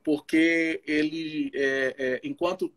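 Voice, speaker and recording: A man with a husky voice, speaking informally as a missionary pastor in a halting way, often checking in with his listener. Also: fairly high pitch, steady tone, fairly bright tone. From Belo Horizonte, Brazil. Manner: halting, frequent tag questions to the listener. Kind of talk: informal testimony and Bible teaching. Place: live social media video call.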